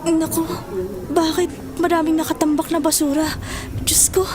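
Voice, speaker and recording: A woman's voice: extremely high pitch, flat delivery, normal speed. A woman acting as a patient but anxious young wife struggling with poverty in an audio drama. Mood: worried, dismayed. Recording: music behind the voice.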